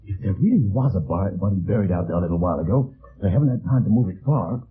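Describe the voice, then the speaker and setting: An actor playing a nervous, eager male sidekick in a 1950s radio detective drama, excitable in delivery. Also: deep voice, quick pace, slightly rough, heavily acted. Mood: wary. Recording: tinny, muffled vintage radio recording.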